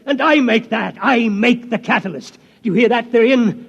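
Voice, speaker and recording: An actor playing an aggrieved, nervous scientist with an indignant, repetitive manner, in 1950s radio drama style. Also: male voice, extremely high pitch, moderate pace, creaky, breathy edge, heavily acted. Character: aggrieved, nervous male scientist, whiny and obsessive. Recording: dull, reverberant vintage radio recording.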